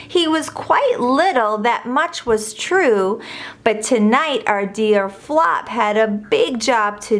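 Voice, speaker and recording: Woman, slightly high voice, very slow pace, expressive children's read-aloud, rhyming verse, deliberate phrasing. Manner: measured, deliberate storytelling delivery. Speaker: storybook reader.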